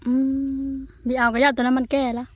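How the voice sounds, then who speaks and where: A woman's voice: sits high, flat delivery, brisk, fairly bright tone, no room sound, dull audio. A woman with a northeastern Thai Isan accent, chatting casually outdoors in a rural garden. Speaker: vlogger. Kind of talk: casual chat.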